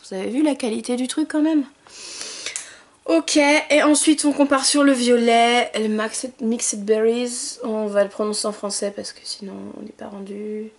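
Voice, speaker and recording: Woman, beauty vlogger, bubbly tutorial chat, fairly high voice, soft trailing asides. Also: fairly even intonation, moderate pace.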